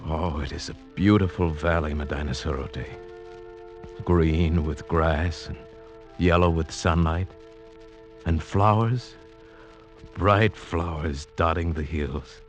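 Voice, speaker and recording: Lovestruck dreamer, very deep voice, earnest and poetic, old-time radio drama, music underneath. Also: male voice, fairly even intonation, slow pace, long pauses. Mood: ardent. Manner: earnest, poetic, theatrical delivery. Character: lovestruck sighted outsider man, village slave, earnest and dreamy. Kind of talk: 1940s-50s radio drama acting, with first-person narration.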